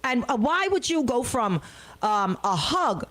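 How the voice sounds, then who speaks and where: A woman's voice: a little high, big pitch swings, a little slow, fairly bright tone. A woman with a Bahamian accent hosting radio banter, indignant and emphatic, repeating herself for effect. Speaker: radio host. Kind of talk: casual on-air banter and commentary.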